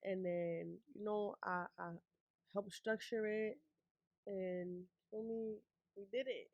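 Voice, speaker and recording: A young woman from Puerto Rico talks in a relaxed, slangy way, soft-spoken and full of fillers. Her voice sits at a middle pitch, and the sound is dry and close. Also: takes it slowly, dry recording, muffled recording. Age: young adult. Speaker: rapper guest. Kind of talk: casual interview chat.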